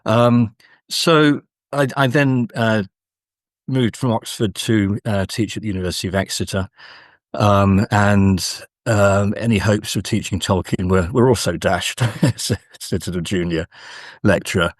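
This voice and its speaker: A middle-aged male academic answering in a conversational interview, speaking haltingly with frequent pauses. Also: fairly low pitch, fairly even intonation, dry recording, studio quality. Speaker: guest academic, university professor.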